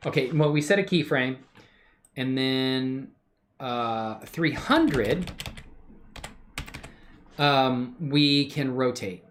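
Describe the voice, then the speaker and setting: A woman's voice: very deep voice, drawn-out words, pauses often, slight background noise. A woman hosting a casual live animation tutorial, thinking aloud and chatting in an upbeat way with viewers she calls man and bro.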